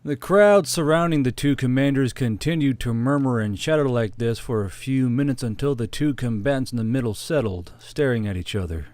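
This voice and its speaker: A man's voice: mid-range voice, brisk, few pauses, darkish tone, no room sound. A man reading a scripted fantasy war story as an audiobook narrator, close on the microphone.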